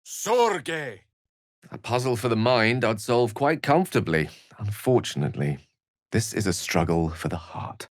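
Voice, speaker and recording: An erudite video game wizard with a lowish, very animated voice. He is courteous and wry, phrasing everything with theatrical eloquence. The recording is close and dry. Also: measured pace, no echo. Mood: incanting. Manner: eloquent, articulate, theatrical, wordy. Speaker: video game character voice actor.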